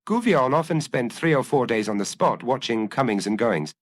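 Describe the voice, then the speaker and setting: A man's voice: mid-range voice, animated, normal speed, no echo. A man reading a detective story aloud as a scripted audiobook narration.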